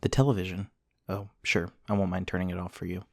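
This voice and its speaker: A man acts out an earnest male scientist in an intimate ASMR roleplay. His voice sits low, and he talks in polite, precise, wordy sentences with a touch of social awkwardness. The sound is dry, with no room echo. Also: flat delivery, fast talker, creaky, darkish tone. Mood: polite, mildly annoyed. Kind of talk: ASMR roleplay, one-sided acted conversation.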